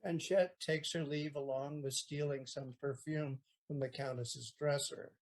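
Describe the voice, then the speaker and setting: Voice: mid-range voice, steady tone, unhurried. A narrator reading stage directions in scripted narration for an audio play.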